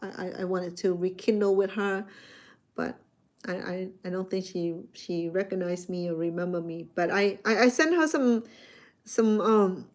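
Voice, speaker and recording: A woman's voice: fairly low pitch, normal speed. Middle-aged Cambodian-accented woman chatting. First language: Khmer. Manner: rambling, repeats words as she restarts, chatty with viewers. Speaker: chef hosting a live stream. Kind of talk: casual live-stream chat with viewers.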